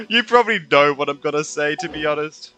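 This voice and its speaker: A man's voice: a little high, lively intonation, moderate pace, bright, forceful tone. A young man giving casual, slangy reaction commentary over a game, often exclaiming and trailing off mid-thought. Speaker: gaming streamer. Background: game music.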